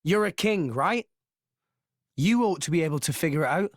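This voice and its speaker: A young actor voicing an earnest, blunt young hero who speaks directly and teases. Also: male voice, a little high, animated, normal speed, no echo, clean studio sound, lightly acted.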